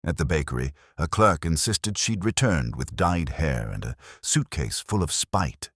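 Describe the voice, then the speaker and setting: A very deep male narrator reads a scripted mystery story with animated, swooping intonation. The sound is dry and close, with some huskiness in the voice. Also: unhurried, dry recording. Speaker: audiobook narrator.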